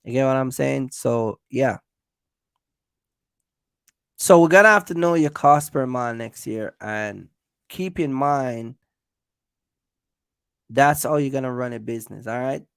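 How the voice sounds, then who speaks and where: A man's voice: mid-range voice, measured pace, creaky, long pauses, fairly bright tone, clean tone, no room sound, clean studio sound. A man hosting a casual livestream, giving business advice straight to viewers in an emphatic, repetitive way. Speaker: host of a trucking business livestream.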